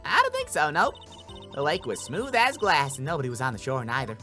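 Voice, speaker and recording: A man acts out a brash Southern gal on the witness stand in a video game. The voice is sharp and swoops about, folksy and easily flustered, with music underneath. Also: a little high, wildly swooping pitch, a little fast, full character voice. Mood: indignant. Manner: folksy, indignant, stammering.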